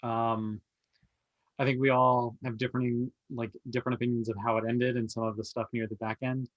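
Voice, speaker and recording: A man's voice: mid-range voice, level tone, brisk, dry recording, muffled recording. A man chatting casually on a podcast panel in an easygoing, offhand way. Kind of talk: casual chat.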